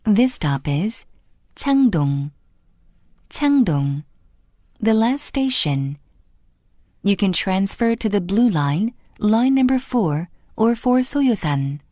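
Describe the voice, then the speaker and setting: Female station announcer, very unhurried and formal, with melodic pitch movement. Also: very animated, mellow, dry recording, clean studio sound. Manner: measured, formal, carefully enunciated. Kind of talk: scripted transit announcement.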